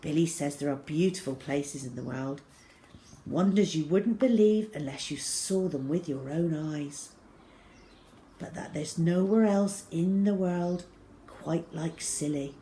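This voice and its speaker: A woman's voice: deep voice, measured pace, dark tone, a little hiss or hum. Woman reading a children's story expressively. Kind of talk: expressive first-person children's audiobook narration.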